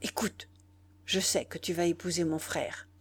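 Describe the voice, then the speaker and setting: A woman's voice: on the low side, level tone, unhurried, husky. Woman reading as a pleading, lovesick teenage boy. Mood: tense, determined. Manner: insistent, pleading. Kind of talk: dramatized audiobook dialogue.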